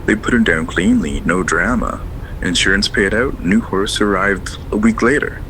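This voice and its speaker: An actor playing a quiet, reflective man calling in to tell a sad story. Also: fairly low pitch, normal speed, slight background noise. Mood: subdued. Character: subdued man caller, former horse-barn worker. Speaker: caller.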